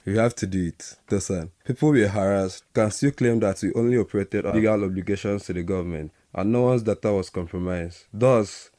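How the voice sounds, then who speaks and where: A man acting as a loyal Nigerian company adviser in a radio drama. His voice is low and mellow, and he speaks in a measured, frank way to his boss. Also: no room sound, studio quality, lightly acted. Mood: measured, candid.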